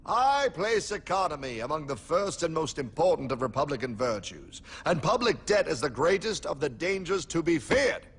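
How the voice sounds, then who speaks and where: A man's voice: a little high, big pitch swings, moderate pace, hardly any pauses, slightly rough, big-room sound, full character voice. A man voicing a solemn, resolute founding statesman in a cartoon, declaiming in stately formal oratory. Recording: loud music behind the voice.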